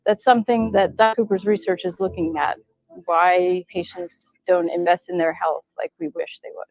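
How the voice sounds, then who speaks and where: A woman with a slightly low voice speaks as a clinician in a documentary piece. She recounts a patient's story in an admiring, measured way. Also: fairly even intonation, creaky, a little mellow, dry recording, faint music behind, poor audio. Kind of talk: documentary interview clip.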